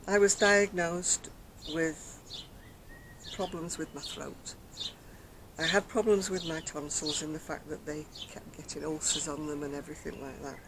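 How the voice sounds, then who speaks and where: An older woman with a very soft, quiet voice chats to camera as a craft vlogger, rambling and self-mocking, recorded outdoors on a decking. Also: lowish voice, noisy background. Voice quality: very soft, quiet voice after throat surgery. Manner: chatty, rambling, self-mocking, laughs softly. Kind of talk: casual chat to camera. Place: outdoors on a decking by a brick wall. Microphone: camera in front at close range.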